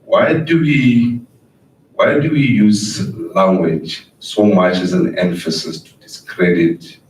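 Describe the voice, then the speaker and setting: Male audience questioner, very low voice, hesitant, distant in a reverberant hall. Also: fairly even intonation, takes it slowly. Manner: hesitant, searching for words. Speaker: audience member asking a question. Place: large auditorium. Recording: echoey hall, distant mic, faint rumble.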